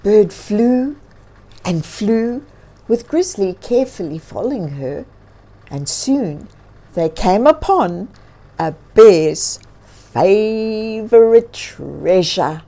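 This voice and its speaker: A woman reading a story aloud for children as host, expressive and encouraging, often putting questions to listeners. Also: medium pitch, slow.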